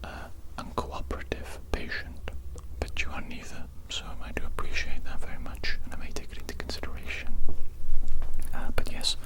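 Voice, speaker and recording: A performer voicing a courteous but menacing evil doctor in a whispered, unhurried ASMR roleplay. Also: natural voice, rough recording. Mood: soothing, menacing. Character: polite, sinister evil mad doctor captor.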